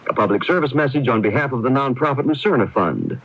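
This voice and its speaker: A man's voice: mid-range voice, animated, fast, heavy background noise. A man reading a scripted public service announcement on the radio. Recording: muffled AM radio recording.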